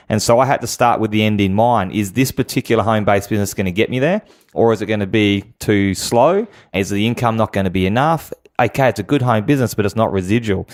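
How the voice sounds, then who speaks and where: Guy with a lowish voice, interview guest giving emphatic advice, asks lots of rhetorical questions. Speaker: guest business expert.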